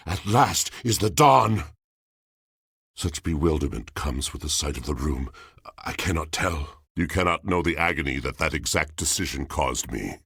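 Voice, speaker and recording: An actor voicing a brooding, heartbroken washing machine in a video game, with theatrical, poetic declaiming and a gravelly voice. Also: male voice, no echo, clean studio sound, exaggerated voice. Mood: stirred, exclaiming, then anguished. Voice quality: very deep, gravelly husky. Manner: grandiloquent, poetic, dramatic declaiming. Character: melodramatic, poetry-obsessed living washing machine, brooding and heartbroken.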